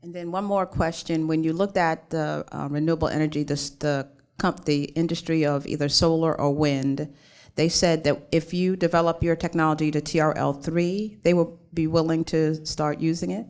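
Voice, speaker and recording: A woman's voice: low voice, fairly even intonation, normal speed, a little mellow, clear, resonant tone. A woman judging at a conference forum, asking the presenter questions in a friendly, encouraging way. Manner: friendly, encouraging, loses her train of thought. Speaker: forum judge. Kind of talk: panel questioning. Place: conference hall.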